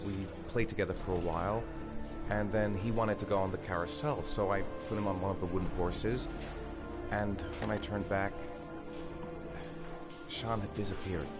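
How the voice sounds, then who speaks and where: A man's voice: deep voice, fairly even intonation, normal speed. An actor playing a distraught, hesitant father in a video game drama, stammering and unsure of himself. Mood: shaken, uncertain. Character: distraught, hesitant father of a missing young son. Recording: game music behind dialogue.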